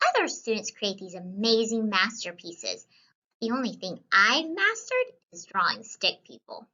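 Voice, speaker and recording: A woman reading a children's story as a whimsical, wisecracking young student painter, with animated delivery and playful, wry asides. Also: medium pitch, measured pace, muffled recording, exaggerated voice. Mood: wry, reluctant. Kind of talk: scripted children's read-aloud.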